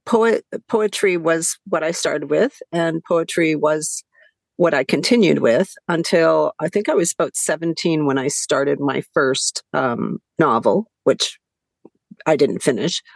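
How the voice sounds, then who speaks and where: Middle-aged author guest, Hungarian; low, creaky voice, dry sound, relaxed storyteller who laughs a lot. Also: female voice, measured pace, no room sound. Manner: chatty, laughs often, many fillers and restarts. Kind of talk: conversational storytelling.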